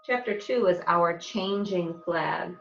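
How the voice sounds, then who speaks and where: American lady teacher doing a kids read aloud, slow and expressive, kinda echoey room. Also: fairly low pitch, vocal fry, highs cut off, a little hiss or hum. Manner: expressive, deliberate, explanatory. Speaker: teacher reading aloud to second graders. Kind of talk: read-aloud of a children's picture book.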